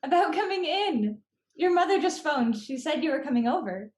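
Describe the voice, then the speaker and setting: A woman's voice: high voice, lively intonation, normal speed, darkish tone, reverberant. A woman playing a teasing, forthright young small-town sweetheart in an old-time radio play. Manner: teasing, pointed.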